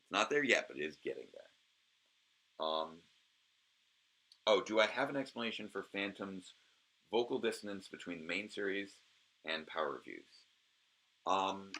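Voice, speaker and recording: Low gravelly man, halting commentary. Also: expressive, bright, forceful tone, low-quality recording. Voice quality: naturally low voice. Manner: hesitant, full of ums and restarts. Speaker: host of a review series. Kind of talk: casual solo commentary.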